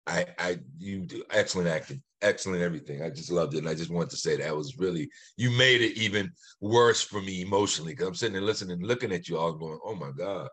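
A middle-aged man giving casual, heartfelt remarks on a video call, haltingly and repeating words. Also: low voice, a bit bright, slightly rough. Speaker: actor on a panel.

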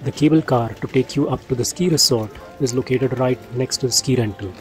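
A man narrates a ski trip guide in a steady, informative voice-over. His pitch sits in the middle range. Light music plays beneath him. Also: fairly quick. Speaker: travel vlogger narrating.

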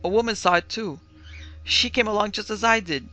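A man's voice: sits high, brisk, pushed and bright, faint music behind, pitched above the performer's own voice. A man voicing a shaken young son in an audiobook who tries to sound casual and unconcerned. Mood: uneasy, excited. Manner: shaky, trying to sound casual. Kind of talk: audiobook character acting.

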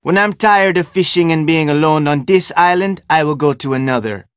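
A male actor as a guarded fisherman who wants to be left alone, in an adventure game. He has a Jamaican accent and a fairly high male voice. His phrasing is formal and curt, in a very dry recording. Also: moderate pace, clear, resonant tone, no room sound, natural voice. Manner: terse, formal phrasing without contractions. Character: guarded, solitary male island fisherman, terse and suspicious. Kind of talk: video game character dialogue.

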